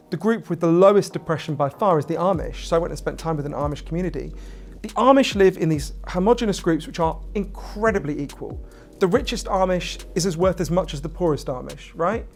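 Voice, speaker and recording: A man's voice: a little high, a little mellow. A man giving a conversational, emphatic explanation, often checking in with the listener.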